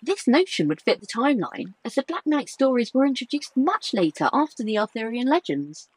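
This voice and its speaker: Woman acting an animated, husky-voiced Miss Turner. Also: on the high side, a little fast, dry recording. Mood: thoughtful. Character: Miss Turner, businesslike female client's associate. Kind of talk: audio drama acting.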